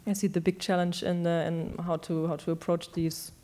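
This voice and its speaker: A woman's voice: on the low side, level tone, normal speed, dark tone. A young woman speaking on a panel discussion, into a handheld mic on a stage, thoughtful and searching for words. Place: large stage in a hall.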